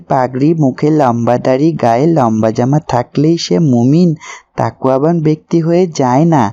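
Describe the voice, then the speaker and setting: A man's voice: mid-range voice, warm tone, dull audio, natural voice. A man voicing an earnest, pious young man in a moral cartoon, speaking didactically. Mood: stern, reproachful. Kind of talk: cartoon voice acting, moral preaching.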